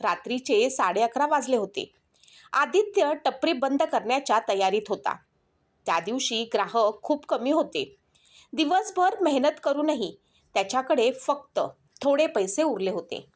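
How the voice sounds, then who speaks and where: A woman storyteller reading scripted narration of an emotional moral story in a measured, earnest manner. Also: high voice, lively intonation.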